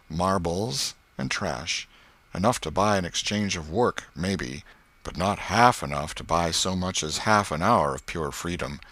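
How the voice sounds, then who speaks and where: A man reading a story as an audiobook narrator, in a measured, expressive literary style. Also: deep voice, no room sound.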